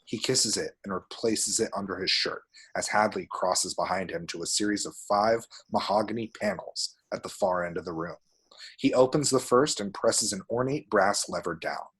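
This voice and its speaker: A man's voice: lowish voice, husky. A man reading scripted screenplay action descriptions as the narrator of a table read. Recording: home recording.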